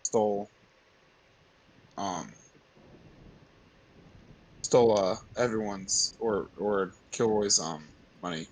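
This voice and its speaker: A man playing a tabletop game, chatting casually, halting and correcting himself, sometimes swearing. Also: lowish voice, a little hiss or hum, poor audio. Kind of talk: casual in-character game chat.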